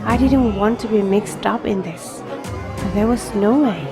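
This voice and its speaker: A woman narrating an audio drama in the first person as an anxious young protagonist, grave, measured and introspective. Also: mid-range voice, over music, a crowd in the background, natural voice. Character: anxious young reader, inwardly narrating an apocalypse.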